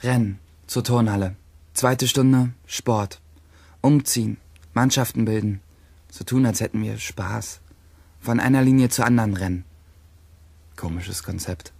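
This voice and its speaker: A man's voice: on the low side, unhurried, creaky, long pauses, a little mellow, slightly rough, no echo, exaggerated voice. A man playing a wry, boastful teenage schoolboy in a radio drama, narrating his day in short clipped phrases. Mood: wry, bored. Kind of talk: first-person audio drama monologue.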